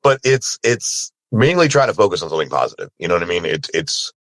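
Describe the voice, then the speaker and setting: A man with a very low, creaky voice that has a husky edge. He speaks casually and candidly, as a guest on a panel, in an informal conversational way. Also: big pitch swings, fast talker, a bit bright, no room sound. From Sri Lanka, moved to America as a child. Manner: informal and candid, often checks in with "you know". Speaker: panelist, voice actor.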